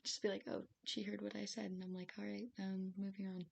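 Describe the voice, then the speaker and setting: A woman with a raspy voice streaming as she writes, thinking aloud hesitantly with many fillers and soft laughs. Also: medium pitch, flat delivery, fairly quick. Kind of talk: casual live-stream musing while writing. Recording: faint hiss behind the voice.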